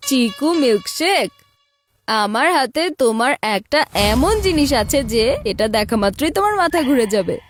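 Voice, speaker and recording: A woman performs a bratty young cartoon child in a very high voice. The kid is sly and coaxing, with exaggerated, whiny delivery. Light music sits behind. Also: animated, moderate pace, natural voice. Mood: smug. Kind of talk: cartoon dialogue dub.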